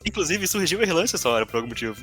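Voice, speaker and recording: A man's voice: fairly high pitch, big pitch swings, rapid-fire, a bit bright, faint music behind. A Brazilian man in casual podcast chat, cutting in with dry, teasing remarks. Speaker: podcast member and player.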